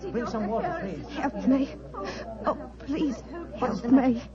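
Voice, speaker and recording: An actress playing an ageing, folksy former music-hall singer turned Rani in an old radio drama. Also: female voice, fairly high pitch, takes it slowly, over a noisy crowd. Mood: agonised, pleading. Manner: folksy, theatrical, colloquial. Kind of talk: 1940s radio drama acting. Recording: dull vintage radio recording, music.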